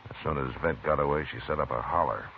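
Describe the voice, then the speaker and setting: An actor playing a calm, stern Old West marshal in 1950s radio western style, clipped and unruffled. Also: male voice, a bass voice, fast, slightly rough, full character voice. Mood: musing, grim. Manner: clipped, laconic, unruffled questioning. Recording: muffled, noisy 1950s radio recording.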